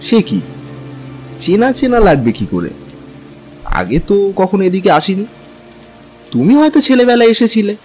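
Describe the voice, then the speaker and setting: An easygoing young husband in an audio drama. His voice is on the high side and very animated, conversational and full of questions. Music and rain sit behind him. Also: measured pace, pauses often. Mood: surprised. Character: easygoing young husband, practical, curious and teasing. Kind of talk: dramatized Bengali audio story.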